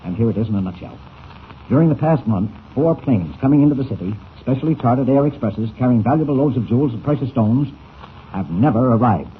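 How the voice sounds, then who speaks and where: A man's voice: mid-range voice, animated, phone-quality sound, lightly acted. A man playing a brusque older newspaper editor in 1940s radio serial style, impatient and emphatic, on an old scratchy recording. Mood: grave, intrigued. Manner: impatient, emphatic, rapid clipped phrases.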